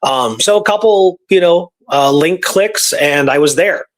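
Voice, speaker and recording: Guy with a slightly high voice, chatty history cohost, informal, repeats himself a bit. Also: animated, unhurried. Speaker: co-host and researcher. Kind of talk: casual history discussion with read-out archive passages.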